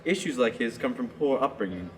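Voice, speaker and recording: A teenage student actor playing a patient, kind young adventurer in a radio play, steady and reasonable. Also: male voice, mid-range voice, fairly quick, natural voice. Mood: thoughtful. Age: teens. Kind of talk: amateur radio play acting.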